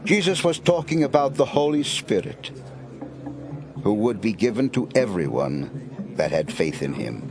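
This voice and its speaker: A man's voice: lowish voice, sing-song, measured pace, vocal fry, music in the background. A man narrating an audio Bible drama in a scripted storytelling style.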